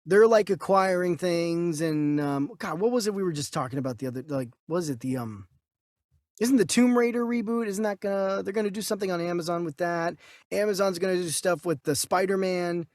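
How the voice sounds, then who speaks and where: A man's voice: sits high, a little fast, darkish tone, no echo, clean studio sound. A man chatting casually about movie news as a podcast co-host.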